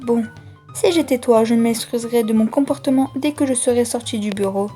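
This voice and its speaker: Mellow-voiced, haughty schoolmistress played by a woman. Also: on the high side, a little fast, faint music behind, lightly acted. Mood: scornful. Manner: formal, condescending. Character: stern, unfair woman school authority, haughty and scornful. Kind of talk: fan-dub cartoon acting.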